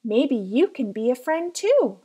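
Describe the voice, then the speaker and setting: A woman reading a children's picture book aloud as careful, expressive storytelling. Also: high voice, measured pace, breathy edge. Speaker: storybook reader.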